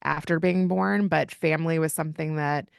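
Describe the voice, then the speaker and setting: A woman's voice: sits low, fairly even intonation, normal speed, gravelly, smooth, no echo, studio quality. A woman from Kentucky, a podcast guest, telling family stories in an open, chatty way. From Kentucky, United States. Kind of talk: casual interview conversation.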